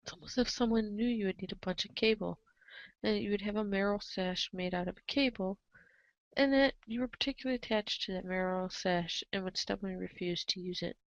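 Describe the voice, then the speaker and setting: A woman's voice: mid-range voice, a little fast, no room sound. Woman narrator, dry muffled recording. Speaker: narrator in a readers' theater show. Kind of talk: scripted narration of a webcomic. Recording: dull lo-fi microphone sound.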